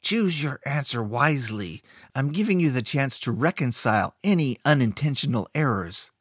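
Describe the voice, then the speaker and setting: A man voicing a sinister, scheming male rune master who speaks smoothly but with a cold, threatening edge. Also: medium pitch, sing-song, normal speed, a bit bright, no echo, studio quality, pitched above the performer's own voice. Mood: menacing calm.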